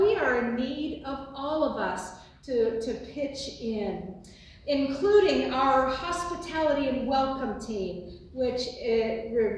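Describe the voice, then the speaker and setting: An older woman pastor with a booming voice giving church announcements and a benediction, recorded by a distant phone in a large sanctuary. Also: a little hiss or hum. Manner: booming, expressive, gesturing widely. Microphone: phone on a tripod from afar. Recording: echoey sanctuary from far off.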